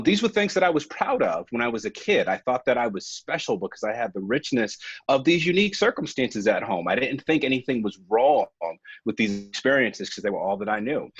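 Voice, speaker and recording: A man's voice: mid-range voice, slightly rough, no echo. A man in his forties giving an earnest, reflective webinar talk about his own life, with frequent um fillers. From United States. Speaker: webinar presenter. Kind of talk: personal storytelling presentation. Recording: dull, lo-fi webinar audio.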